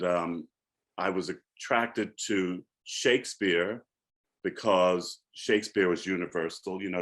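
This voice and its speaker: A man in his sixties with a deep bass-baritone voice, reflecting on his student years as a panelist in an alumni discussion. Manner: reflective, turns animated in storytelling.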